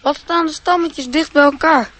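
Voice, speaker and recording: A woman's voice: sits high, fairly even intonation, moderate pace, fairly bright tone. An actress playing a curious, polite but outspoken little boy in a radio play, with a childlike manner.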